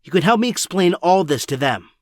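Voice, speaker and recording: Young man playing an earnest, expressive teenage hero. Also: high-pitched, brisk, no room sound, clean studio sound. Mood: determined. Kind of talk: audio drama acting.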